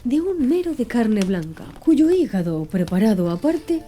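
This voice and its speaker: Female audiobook narrator, ASMR delivery: soft, measured, slightly high, very warm and mellow. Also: very animated, a little hiss or hum. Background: faint background music.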